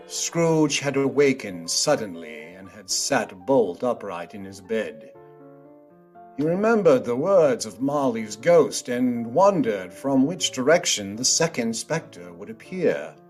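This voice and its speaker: Slow, dramatic male narrator with swooping delivery. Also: mid-range voice, wildly swooping pitch. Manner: theatrical, deliberate phrasing. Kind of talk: dramatic storybook narration for radio play. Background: music.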